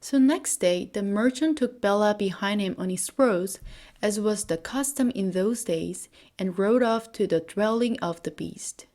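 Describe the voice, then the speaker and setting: A woman's voice: mid-range voice, a little slow, darkish tone. Woman narrating a bedtime fairy tale. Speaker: storyteller.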